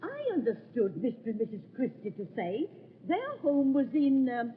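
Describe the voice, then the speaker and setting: An actress playing a fussy, polite English society wife, in an old-time radio drama. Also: female voice, high-pitched, wildly swooping pitch, normal speed, slight background noise. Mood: puzzled. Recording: echoing, tinny vintage radio recording.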